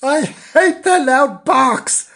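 A woman's voice: mid-range voice, sing-song, measured pace, pitched above the performer's own voice. A woman voicing a timid, gullible young male kitten in a storybook, plaintive and anxious. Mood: complaining.

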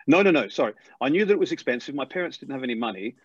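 A middle-aged man with an Australian accent, softened by decades in England, tells his story at a rapid clip. He stumbles and restarts often as he warms up. The recording is dry and a touch muffled. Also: mid-range voice, husky, no room sound. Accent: Australian, softened by years in England. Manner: animated storyteller, quick with many restarts. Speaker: airline pilot guest. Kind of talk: storytelling interview. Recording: slightly dull lo-fi sound.

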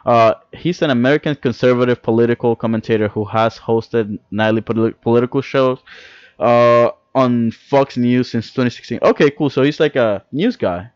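A young man from the Dominican Republic rambles excitedly through casual podcast chat and curses freely. His voice sits at an ordinary middle pitch. The sound is dry and a little dull. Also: moderate pace, no echo. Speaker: podcast co-host.

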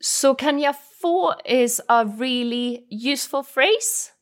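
A woman's voice: high-pitched, slow. Patient female teacher. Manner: patient, explains step by step, models phrases. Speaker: Swedish language teacher.

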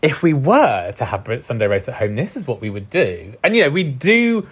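A man's voice: mid-range voice, big pitch swings, moderate pace, breathy edge. A man, guest on a food podcast, talking about his cookbook in a reflective, searching way with frequent fillers. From Britain. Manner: thoughtful, searching for words, many fillers. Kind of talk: reflective conversational interview.

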